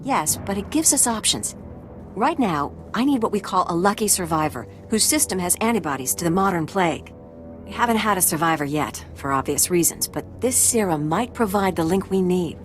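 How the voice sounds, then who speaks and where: Woman as a low-voiced, wry lady scientist. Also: natural voice. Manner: wry, matter-of-fact. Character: dry-witted, brisk female medical researcher. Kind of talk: video game dialogue acting.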